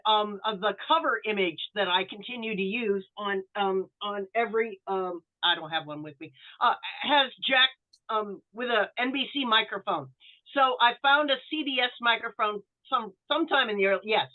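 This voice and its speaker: Thin, phone-like audio carries a woman's fairly high voice. She is a guest in a casual chat, speaking in a halting, hesitant way. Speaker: guest, author.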